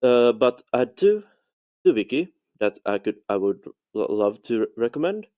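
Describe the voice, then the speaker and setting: A man from Finland with a Finnish accent, leaving a halting, polite voice message with many restarts. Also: medium pitch, big pitch swings, dark tone. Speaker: voicemail caller. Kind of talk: recorded voice message.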